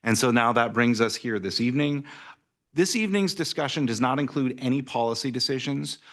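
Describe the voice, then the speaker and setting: A man from city staff presenting a report at a council meeting, halting with many fillers and restarts. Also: medium pitch.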